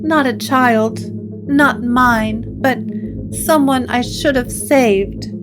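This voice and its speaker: A woman's voice: a little high, takes it slowly, pitched above the performer's own voice. A woman acts out a troubled woman haunted by a doll, her voice lifted above plain narration. She confides hesitantly in gothic audio drama fashion, with prominent guitar music behind her. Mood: grieving.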